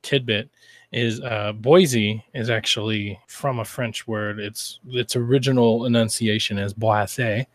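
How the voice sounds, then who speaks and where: A man's voice: fairly low pitch, steady tone, a little slow, no echo. An American man from Idaho hosting a podcast in a rambling, informal, chatty way. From Idaho, United States. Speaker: podcast host. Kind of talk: casual chat.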